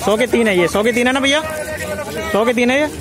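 A man's voice: extremely high pitch, brisk. A man filming a walking tour of an outdoor street market on his phone, asking vendors for prices and repeating them to the camera. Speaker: market vlogger. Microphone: handheld phone camera. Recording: loud crowd behind. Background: crowd chatter and traffic.

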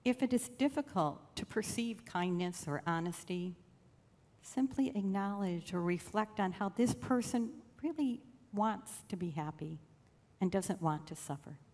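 A woman leading a guided meditation in a slow, gentle, soothing way with long pauses. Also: medium pitch, animated. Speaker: church meditation leader. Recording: long reverb of a large room.

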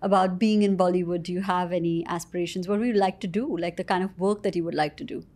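A woman's voice: lowish voice, fairly even intonation, fast, a little mellow. A woman hosting a casual interview, asking questions and affirming often with "yeah". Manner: affirming, frequent "yeah" and "right" backchannels. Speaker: interview host.

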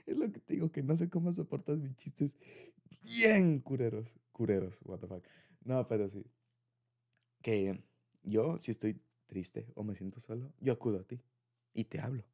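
A man's voice: medium pitch, expressive, normal speed, a little mellow. A man with a Mexican accent speaking in an informal, affectionate, rambling way, as in a personal ASMR boyfriend roleplay.

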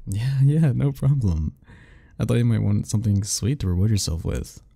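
A man's voice: lowish voice, lively intonation, moderate pace, creaky, dark tone. A young man doing an ASMR roleplay as a teasing, easygoing roommate talking to the listener, laughing often.

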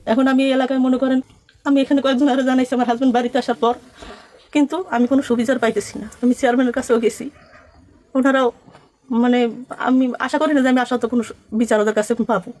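A woman's voice: high-pitched, little pitch movement, fast, a little mellow. A young woman gives a rambling, pleading personal account, quoting past conversations as she goes. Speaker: complainant being interviewed.

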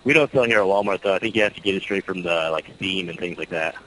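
A man's voice: low voice, fast, fairly bright tone. A man working as a store employee, casually answering a caller's questions on the phone. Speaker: store employee taking a call. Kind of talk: casual customer-service phone talk. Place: store, on a telephone. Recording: faintly noisy telephone line.

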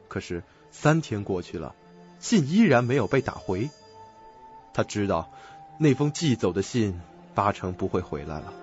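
A man narrating a suspense radio drama from a script. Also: on the low side. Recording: dull lo-fi recording. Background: music.

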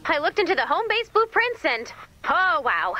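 A woman voicing an excitable, scatterbrained female robot assistant in a video game, babbling cheerfully and awkwardly. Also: very high-pitched, normal speed, lo-fi sound, exaggerated voice. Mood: informative, chipper. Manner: chirpy, babbling, repeats words, awkward. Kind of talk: video game character dialogue.

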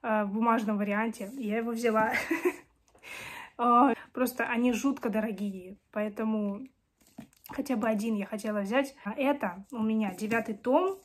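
A woman's voice: a little high, fairly even intonation, moderate pace. A woman in her twenties chatting casually to camera as a book vlogger, her voice strained by a cold and sore throat. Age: 20s. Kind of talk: casual chat to camera about books. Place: small home room with bookshelves.

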